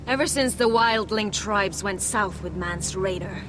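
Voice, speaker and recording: A woman's voice: medium pitch, a little slow, natural voice. An actress voicing a proud young woman from a northern village, curt and urgent. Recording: noisy outdoor game ambience.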